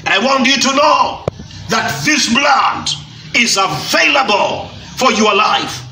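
A middle-aged Kenyan man preaching a shouted Easter sermon, stretching his key words as he builds. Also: extremely high pitch, lively intonation, slow pace, far from the mic, slight background noise. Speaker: pastor. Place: church stage, indoors. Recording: echoey room from afar, music behind.

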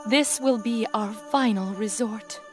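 A woman's voice: a little high, unhurried, a little mellow, close to an everyday voice. A woman voicing an earnest, deferential young genie girl with formal, reverent phrasing in a video game. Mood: solemn. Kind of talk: video game cutscene acting. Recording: music under the voice.

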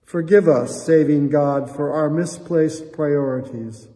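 An older American man, a pastor, speaking solemnly and earnestly in measured phrases as he leads prayer and appeals to a congregation. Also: on the high side, mellow. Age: 60s or older. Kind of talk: church prayer and offering appeal. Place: church sanctuary, indoors. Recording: reverberant church hall echo.

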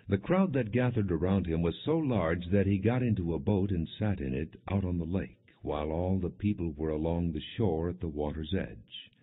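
A man with a very low voice reads scripture as a scripted audiobook narration. His tone is husky and his delivery is measured and solemn. The recording sounds muffled and low in fidelity. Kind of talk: scripted narration, non-dramatized scripture reading.

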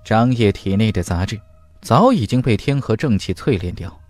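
A man narrating a fantasy audiobook in a dramatic scripted style, with emphatic, deliberate delivery. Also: lowish voice, lively intonation, darkish tone, no room sound, soft background music.

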